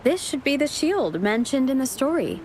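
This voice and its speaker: A woman voicing a calm young woman explorer in a video game, speaking in a matter-of-fact way. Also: high-pitched, moderate pace, noisy background.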